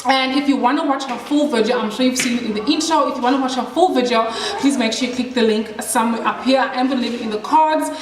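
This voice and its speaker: A woman's voice: a little high, quick pace, few pauses, crowd noise. Bubbly woman vlogging. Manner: bubbly, chatty, easily excited. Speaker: makeup vlogger. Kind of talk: casual beauty tutorial chat. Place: small indoor room, kids nearby. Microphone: camera in front, head and shoulders framing. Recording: roomy echo with household noise.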